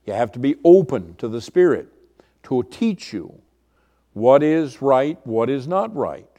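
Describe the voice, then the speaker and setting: A man with a booming voice teaching as a pastor in an expository sermon style, deliberate and emphatic, often repeating phrases for weight. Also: big pitch swings, dark tone. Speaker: pastor teaching a Bible study.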